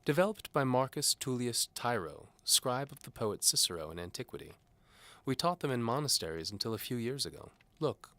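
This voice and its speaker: An older bishop of the Middle Ages played by a man preaches with haughty conviction. His delivery is forceful and lifted in pitch, didactic and commanding, as in an audio drama. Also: sing-song, a little fast, no room sound. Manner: didactic, pompous. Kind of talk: audio drama character acting.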